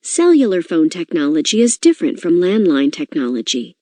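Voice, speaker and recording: A woman narrates educational nonfiction as a read-aloud. Her voice is mellow and relaxed, yet the pitch moves in a lively, animated way. The sound is dry and close, with clear phrasing. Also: normal speed, dry recording. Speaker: reader of a children's nonfiction book.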